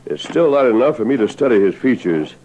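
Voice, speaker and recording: A man's voice: mid-range voice, very animated, brisk, a crowd in the background, natural voice. An actor playing a resolute masked lawman cowboy in old western radio drama, speaking decisively and declaiming his lines. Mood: resolute planning. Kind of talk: 1940s-style western radio drama acting. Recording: dull, noisy old radio recording.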